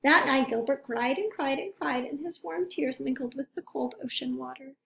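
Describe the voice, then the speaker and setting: High-pitched, creaky woman reading to children. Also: normal speed, slight background noise. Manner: expressive, addresses young listeners with questions. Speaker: teacher reading a picture book aloud. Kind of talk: children's read-aloud.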